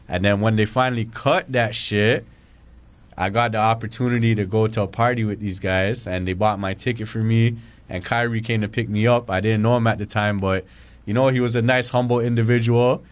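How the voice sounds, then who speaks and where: A young man hosting a podcast, talking in a laid-back, slangy way and saying "you know" a lot. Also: on the low side. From Canada. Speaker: podcast host. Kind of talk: casual interview chat.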